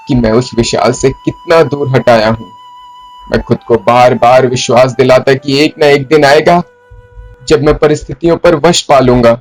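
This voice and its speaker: A man performing a theatrical monologue as a broken, remorseful poet confessing to his beloved in formal, literary language, deliberately and with dramatic pauses. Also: mid-range voice, a little mellow, close to an everyday voice. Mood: troubled, searching. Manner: brooding, deliberate, with dramatic pauses and repeated phrases. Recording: flute music under the voice. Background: flute music.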